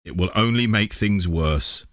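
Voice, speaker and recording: A man's voice: on the low side, measured pace, a bit bright, no echo, clean studio sound. A man voicing a caring, practical aunt in a children's storybook. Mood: gently cautioning.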